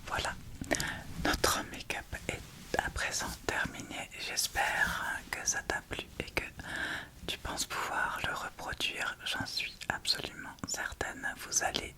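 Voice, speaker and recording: Whispering woman doing ASMR. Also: slow pace. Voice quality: soft breathy whisper. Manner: informal, chatty, thinking aloud. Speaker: ASMR video host. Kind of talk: whispered ASMR makeup tutorial, casual.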